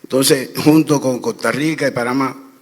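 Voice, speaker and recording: A man's voice: medium pitch, steady tone, normal speed. A man answering a moderator's question on a trade panel, telling anecdotes with hesitations and restarts. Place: conference hall. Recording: reverberant event hall.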